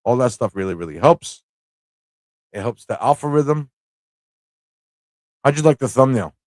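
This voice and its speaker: A man's voice: medium pitch, animated, measured pace, darkish tone, dry recording. Casual, off-the-cuff male livestream host, dry sound. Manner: informal, off-the-cuff, thinks aloud, repeats phrases. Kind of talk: casual rambling livestream chat and commentary.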